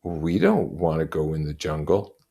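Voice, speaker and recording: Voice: a bass voice, big pitch swings, normal speed, vocal fry, mellow, exaggerated voice. A reader voicing reluctant, earnest schoolboys pleading their case in a storybook. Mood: defensive.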